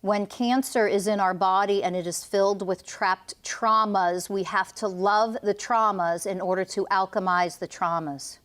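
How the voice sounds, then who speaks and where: A woman's voice: mid-range voice, steady tone, a little slow, few pauses, fairly bright tone. A woman energy healer guiding a client through a healing session, commanding and intense, with blunt swearing.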